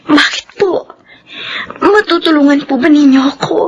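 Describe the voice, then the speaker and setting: A woman acts as a meek, anguished young mother in a Filipino radio drama. Her voice is high and pleading, with faint noise on the track. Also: moderate pace, lo-fi sound, natural voice. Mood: sorrowful, hesitant.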